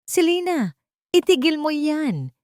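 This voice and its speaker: A woman's voice: high-pitched, very animated, slow pace, a little mellow, dry recording, pitched above the performer's own voice, heavily acted. An anxious, brave young heroine, played by a woman narrator, speaking with urgency and pleading. It is audio melodrama, recorded close and dry. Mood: alarmed.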